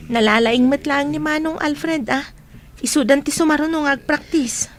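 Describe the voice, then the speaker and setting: A woman's voice: high-pitched, normal speed, slight background noise, close to an everyday voice. A woman playing a prying, teasing young student friend in an Ilocano radio drama. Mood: prying, reproachful.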